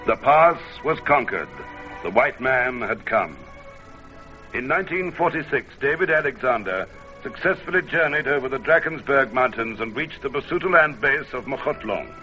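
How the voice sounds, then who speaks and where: A man giving a solemn, theatrical, measured scripted narration for a radio documentary. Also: mid-range voice, animated, dull audio. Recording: band-limited vintage radio recording, music behind. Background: music.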